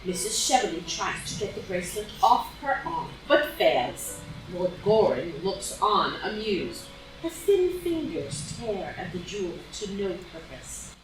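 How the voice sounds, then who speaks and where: Narator wanita yang ekspresif di ruangan sangat bergema. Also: female voice, mid-range voice, big pitch swings, unhurried. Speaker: narrator. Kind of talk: dramatic reading of stage directions. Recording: very echoey room, distant mic, music behind.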